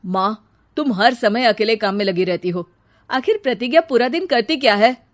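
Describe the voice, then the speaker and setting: A woman voicing an irritable young husband in a cartoon story, scolding loudly and finding fault. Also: on the high side, animated, moderate pace, close to an everyday voice. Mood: concerned.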